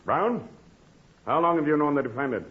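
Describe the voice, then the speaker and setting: An actor playing a stern, formal courtroom prosecutor with impatient, declamatory delivery in 1940s radio comedy style. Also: male voice, fairly high pitch, expressive, moderate pace, close to an everyday voice. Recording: muffled, noisy old radio recording.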